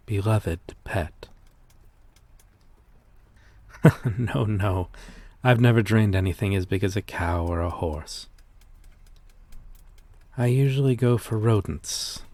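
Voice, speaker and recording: Weary gentle vampire outcast, played by a man, very slow delivery, lowish pitch, hesitant and formal, intimate ASMR roleplay. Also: no room sound. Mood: earnest, wistful. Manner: hesitant, confessional, formal old-fashioned wording.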